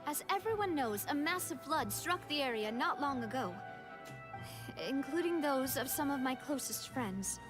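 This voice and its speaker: An assertive young woman prosecuting in an anime game. Her high voice is earnest and pointed, building each challenge deliberately over clear music. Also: lively intonation. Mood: pointed accusation. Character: assertive young female amateur prosecuting attorney.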